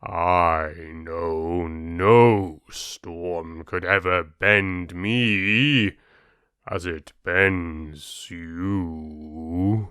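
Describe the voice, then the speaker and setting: A man voicing a giant oak tree in a storybook, proud and self-assured, with a stately, drawn-out delivery. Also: sits low, steady tone, pushed and bright, clean tone, dry recording, clean studio sound, full character voice. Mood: proud, content.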